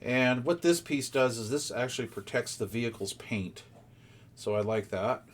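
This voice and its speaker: A man's voice: mid-range voice, moderate pace. A man giving casual unboxing commentary as a product reviewer, in a rambling, folksy, conversational way.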